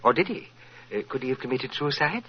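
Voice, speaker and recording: A man's voice: medium pitch, animated, a little fast, husky. An actor playing a fussy, cranky, blunt male lawyer in 1940s radio style, hesitating and repeating himself. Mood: brusque. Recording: muffled, noisy old radio recording.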